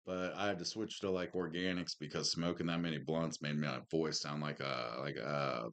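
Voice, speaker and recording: Deep smoker voice guy, real low, just chillin and chatting, slangy, says man and dude a lot. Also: steady tone, moderate pace, a bit bright. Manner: slangy, laid-back, peppered with "man" and "dude". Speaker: podcast co-host. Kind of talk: casual chat.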